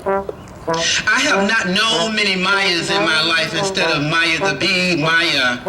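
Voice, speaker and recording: A man performing spoken word poetry over live music, with a rhythmic, incantatory delivery and chanted refrains, on an outdoor park terrace. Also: high-pitched. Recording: echoey outdoor stage with loud live band. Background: live instruments playing.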